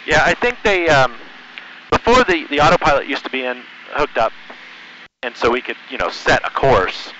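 A man's voice: mid-range voice, sing-song, moderate pace. An American man talking casually as he pilots a small plane, explaining things and making radio calls through a headset. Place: small propeller plane cockpit in flight. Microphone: aviation headset mic. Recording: muffled headset intercom, bright, aircraft engine noise.

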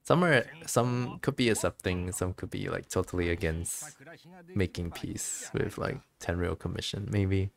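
A man's voice: low voice, measured pace, gravelly. A man streaming a game with casual, musing commentary, a little hesitant as he thinks aloud.

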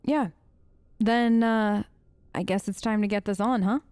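A woman's voice: medium pitch, fairly even intonation, a little slow, a little mellow, clean tone, dry recording. A woman doing casual improvised role-play as a gamer, talking in a hesitant, conversational way close to the mic. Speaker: let's play gamer speaking as her character.

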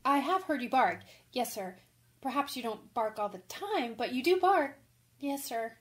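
A frank, impulsive young woman writer in a radio play, acted by a woman. Her voice is fairly high, and she rambles on in a chatty, candid rush. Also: animated, normal speed. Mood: frank, earnest. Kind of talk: radio drama acting.

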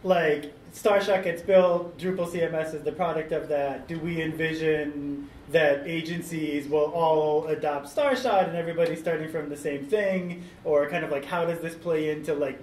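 A man's voice: on the high side, moderate pace, few pauses, a little mellow. Man answering on a panel, roomy. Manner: thoughtful, frequent ums, rephrases the question. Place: meeting room. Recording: roomy, distant mic, faint noise.

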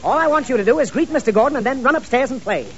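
A harried, fussy father in a 1930s radio comedy. He talks fast and animatedly, stammers and is easily irritated, yet anxious to please. The old recording is dull, with a little noise. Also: extremely high pitch, animated. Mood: fussy, instructing.

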